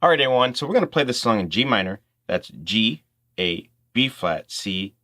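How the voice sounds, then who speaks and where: A man's voice: mid-range voice, big pitch swings, normal speed, bright, forceful tone. A man hosting an informal music tutorial, explaining things step by step in instructional talk.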